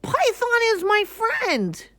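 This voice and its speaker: An anxious little animal from a folktale, pleading in a very high voice. The delivery is slow, drawn-out and wildly swooping, full of defensive protest. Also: female voice, huge pitch swings, bright, forceful tone, no room sound, heavily acted. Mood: frightened protest.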